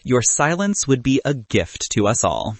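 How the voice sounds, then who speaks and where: A man plays a brisk female bridesmaid in an audiobook rom-com. She is exasperated and sharp-tongued, speaking firmly with a husky edge. The delivery swings in pitch and sits dry and close. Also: sing-song, no echo, full character voice. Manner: firm, clipped, businesslike. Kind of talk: audiobook character dialogue.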